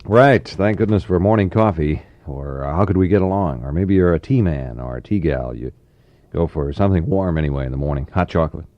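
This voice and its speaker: A man's voice: sits low, brisk. An American man working as a 1960s middle-of-the-road radio DJ, chatting in an easygoing, folksy way. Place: radio studio. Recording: dull, roomy 1960s AM radio aircheck.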